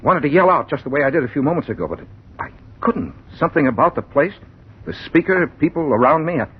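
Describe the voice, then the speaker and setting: An actor playing a bewildered, troubled man narrating a strange ordeal in halting fragments, in old radio drama style. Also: fairly high pitch, very animated, husky, lo-fi sound, exaggerated voice. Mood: haunted, troubled. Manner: halting, fragmented, intense first-person delivery. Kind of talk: 1940s radio drama acting.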